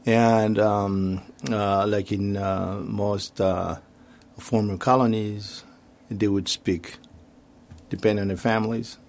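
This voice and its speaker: A man's voice: fairly low pitch, little pitch movement, slow pace, a little mellow. A middle-aged man from the Congo, speaking reflectively and hesitantly as an interview guest. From Democratic Republic of the Congo. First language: French and Swahili. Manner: hesitant, searching for words.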